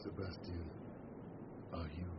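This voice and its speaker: An actor playing an astonished, devoted friend in a classical Shakespearean radio drama. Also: male voice, sits low, little pitch movement, slow pace. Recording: muffled radio recording, faint noise.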